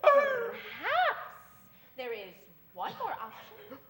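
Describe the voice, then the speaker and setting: A woman voicing a sly, scheming female cat who taunts in a drawn-out sing-song way in a children's musical story. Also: high-pitched, sing-song, fairly bright tone, a little hiss or hum, full character voice. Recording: roomy echo with faint music.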